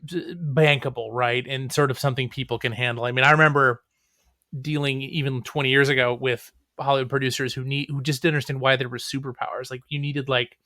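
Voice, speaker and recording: A man's voice: medium pitch, level tone, brisk, sharp tone, no room sound, clean studio sound. A man chatting casually as a podcast guest, wry and joking. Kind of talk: casual chat.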